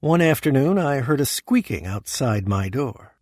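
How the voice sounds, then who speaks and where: A man playing an ambitious, self-important damned soul in an audio drama, speaking in a formal, deliberate way. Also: mid-range voice, big pitch swings, loud music behind the voice, natural voice. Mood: measured storytelling. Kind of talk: audio drama acting and first-person narration.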